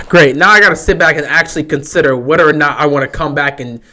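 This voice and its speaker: A young man hosting a livestream, talking casually over a football game. His voice is hoarse and strained from a sore throat, and he sounds resigned and fed up. He sits a little high in his pitch range for a man. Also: fairly quick, sharp tone. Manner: frustrated, resigned rambling. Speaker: livestream host. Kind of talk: casual live game commentary.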